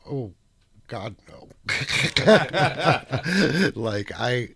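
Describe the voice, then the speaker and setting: A man's voice: mid-range voice, lively intonation, extremely slow, vocal fry, sharp tone. A man speaking as a guest in a casual chat, firm and reflective. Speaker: guest, cannabis activist.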